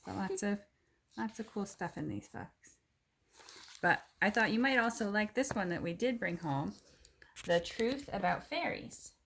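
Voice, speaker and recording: A woman reading a children's picture book aloud in an expressive, clearly phrased way, with casual asides. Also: mid-range voice, measured pace, slight background noise.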